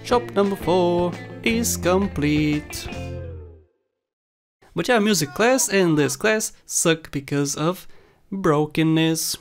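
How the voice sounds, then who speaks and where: A man's voice: sits high, slow. A young man with a Bulgarian accent, whose first language is Bulgarian, giving jokey, rambling commentary over a game walkthrough. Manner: jokey, rambling, laughs at his own jokes. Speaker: gameplay commentator. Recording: faint game music underneath.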